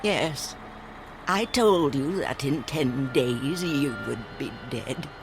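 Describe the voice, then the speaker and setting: A solemn old woman who tells fortunes in a radio drama. She speaks very slowly and deliberately, with a wide-swinging pitch and cryptic wisdom. Music plays clearly under her voice. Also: animated, exaggerated voice. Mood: solemn, wise. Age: older.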